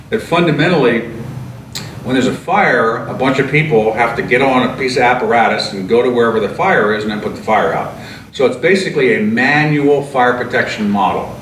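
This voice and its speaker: Earnest older man, echoey distant sound. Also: medium pitch. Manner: earnest, steady, with frequent fillers and restarts. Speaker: retired fire chief and author. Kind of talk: interview answers. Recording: echoey room, voice from off-mic, clear background noise.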